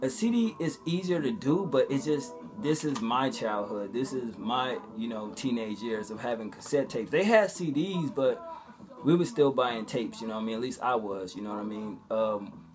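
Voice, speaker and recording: A man's voice: mid-range voice, moderate pace. A young man chatting casually in a vlog, with informal, slangy speech and frequent swearing. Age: young adult. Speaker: vlogger.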